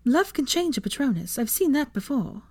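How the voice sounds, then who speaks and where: A woman voicing an eager, bookish young witch, speaking high and gushing with encouragement. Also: sing-song, moderate pace, a little mellow, dry recording. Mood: musing.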